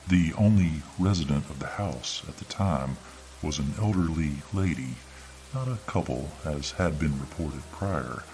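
A man narrating a scripted horror story in ASMR style, with an ominous, drawn-out delivery. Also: extremely low pitch, darkish tone, poor audio. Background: background music.

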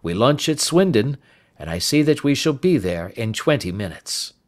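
A man voicing a sharp, confident Victorian detective in an audiobook, speaking in an assured, articulate and emphatic way. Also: mid-range voice, very animated, normal speed, pitched above the performer's own voice, lightly acted.

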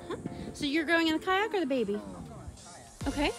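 Excitable high-voiced woman vlogging outdoors. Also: brisk. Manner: excitable, chatty, encouraging. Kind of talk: casual outdoor vlog chat. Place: outdoors by a bay, dock and water. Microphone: handheld phone or action camera. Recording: music behind, outdoor handheld recording.

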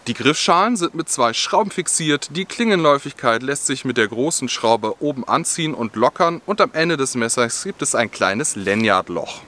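A young man with a bright, clear-edged voice talks through camping gear in a friendly, explanatory way. He is filmed outdoors in a forest, speaking to the camera as the channel's host. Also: medium pitch, lively intonation, moderate pace, hardly any pauses. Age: young adult. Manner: friendly, explanatory, with scripted-sounding product details. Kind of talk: gear review vlog.

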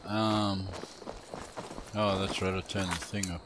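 A man's voice: sits low, flat delivery, slow pace, noisy background. A man giving casual, offhand commentary as he plays through a video game. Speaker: gamer narrating a playthrough. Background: game music and sounds.

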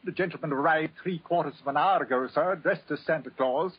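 An actor playing an anxious English butler, husky, formal and deferential, with a British accent, in a 1940s radio drama. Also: male voice, a little high, fairly quick. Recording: muffled 1940s radio recording.

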